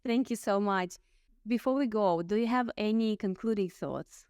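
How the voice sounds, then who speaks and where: A woman's voice: medium pitch, normal speed, darkish tone, clean tone, no room sound, studio quality. A woman co-hosting an interview who talks in an earnest, informal way with fillers. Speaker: podcast co-host. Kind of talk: casual interview talk.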